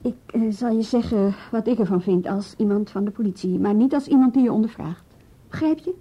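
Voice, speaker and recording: A woman's voice: fairly high pitch, warm tone, slightly rough. A woman acting in a radio drama as a frank, level-headed policewoman, speaking carefully and matter-of-factly. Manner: careful, deliberate, matter-of-fact. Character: policewoman, level-headed, frank, guarded adviser to a young suspect. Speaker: police colleague. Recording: dull radio play recording.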